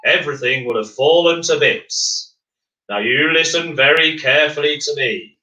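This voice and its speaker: A man's voice: a little high, reverberant, distant mic, pitched above the performer's own voice, poor audio. Man playing a big, firm godlike creator. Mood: firm, commanding. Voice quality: loud, big voice. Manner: firm, slow, authoritative.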